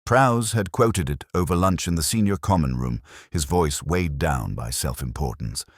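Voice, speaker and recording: Man, extremely deep voice, lively intonation, dry close sound, reflective detective narration. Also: dry recording. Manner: measured, reflective, deliberate. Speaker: first-person detective narrator. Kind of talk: scripted literary narration.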